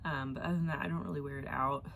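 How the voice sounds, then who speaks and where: A woman's voice: rumbling low, fairly even intonation, very fast, vocal fry, a bit bright, a little hiss or hum. A young woman hosting a knitting podcast from her home, chatting casually in a rambling way with fillers and a somewhat congested voice. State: congested from allergies. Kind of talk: casual chat.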